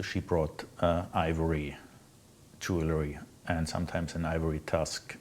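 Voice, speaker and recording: A middle-aged man from Austria with an Austrian accent, a native German speaker, telling his life story off the cuff in a halting, reflective way. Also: deep voice. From Austria, Salzburg province. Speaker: interviewee recounting own life. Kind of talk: unscripted personal storytelling.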